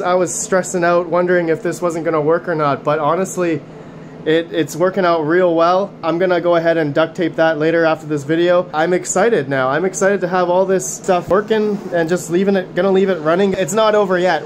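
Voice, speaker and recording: A man with a slightly raised pitch talks casually to his viewers in an upbeat, chatty way. He is losing his voice as he goes. A faint hiss of noise sits under him. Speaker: mining channel host. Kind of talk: casual hands-on video commentary. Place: condo room with mining rigs.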